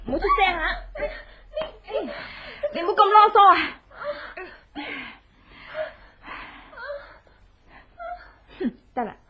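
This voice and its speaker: An actress playing a cunning, vengeful young wife in a Cantonese radio horror drama, with a Hong Kong accent and a manner that moves between coaxing politeness and taunting menace. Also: female voice, high-pitched, pushed and bright, exaggerated voice. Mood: menacing, taunting. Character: cunning, vengeful young wife who drugs her enemies.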